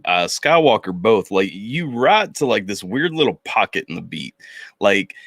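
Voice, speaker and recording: Guy hosting a music chat, mid pitch, keeps saying like, dry close mic. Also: no room sound. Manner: animated, filler-heavy, lots of "like". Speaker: podcast host.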